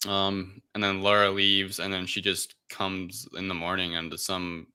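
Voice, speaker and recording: Deep, bright-voiced man recapping casually. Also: monotone, a little slow, clean tone. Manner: hesitant, rambling delivery. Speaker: podcast co-host reviewing films. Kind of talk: casual film recap chat.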